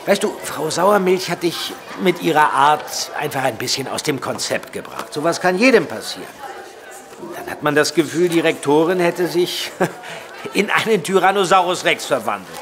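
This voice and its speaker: A man's voice: a little high, expressive, moderate pace, noisy background. An actor playing a kind, encouraging male primary school teacher in a children's audio play.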